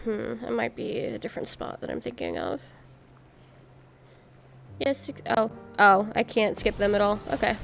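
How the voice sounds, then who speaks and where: Animated woman streaming a game. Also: mid-range voice, moderate pace, gravelly, long pauses, soft background music. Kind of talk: casual live game commentary.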